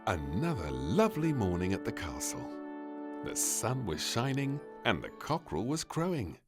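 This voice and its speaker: A man reading a scripted children's story as narrator, with an expressive, dramatic delivery. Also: fairly low pitch, moderate pace, loud music behind the voice. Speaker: storybook reader.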